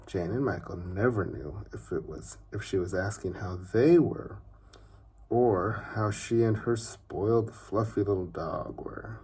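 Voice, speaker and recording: A man narrating a children's story expressively, with deliberate phrasing and dramatic pauses. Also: lowish voice, mellow, slightly rough.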